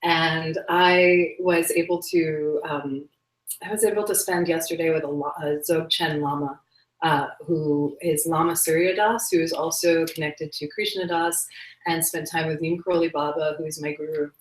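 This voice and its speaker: A woman hosting a live spiritual broadcast, soft-spoken and dreamy, with many fillers. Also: sits low, fairly even intonation, normal speed. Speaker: host. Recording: echoey room.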